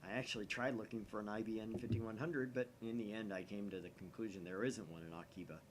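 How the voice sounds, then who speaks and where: A man's voice: fairly low pitch, fairly even intonation, quick pace, background noise, close to an everyday voice. A man voicing a paranoid, melodramatic young self-styled mad scientist in a visual novel, theatrical and suspicious. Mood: guarded. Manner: theatrical, suspicious, dramatic whispers and outbursts.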